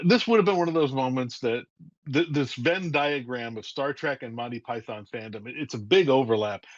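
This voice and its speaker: A man, a writer interviewed as guest, telling stories in a lively way and acting out scenes. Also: mid-range voice, no room sound, lo-fi sound. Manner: lively, acts out scenes with voices. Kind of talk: animated storytelling in a casual interview.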